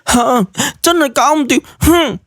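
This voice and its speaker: A man's voice: very high-pitched, unhurried, a bit bright, dry recording, studio quality, voice pushed up, exaggerated voice. A man voicing a spoiled, pouty young woman in an audio drama, whiny and bossy, with a Hong Kong Cantonese accent. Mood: petulant, demanding.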